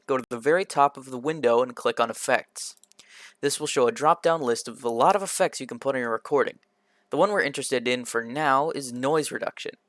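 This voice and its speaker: A man presenting a scripted software tutorial in an instructional, step-by-step manner, occasionally stumbling over a word. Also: mid-range voice, dry recording, studio quality. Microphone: computer microphone in a quiet home room, voice-over.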